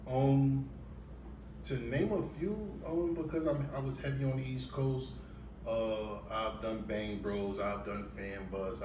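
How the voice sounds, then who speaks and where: A young man from Queens, chatting loosely as an interview guest and filling his talk with "man". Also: lowish voice. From New York, Queens. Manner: laid-back slangy talk, fills with "man". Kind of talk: casual interview chat. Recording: echoey room, far from the mic, noisy.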